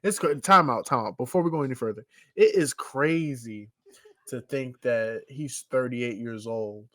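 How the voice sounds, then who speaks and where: A man's voice: medium pitch, moderate pace, fairly bright tone, no echo. Rambling young man in casual chat. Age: 20s. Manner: loose, rambling, leans on "you know what I'm saying". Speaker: podcast co-host.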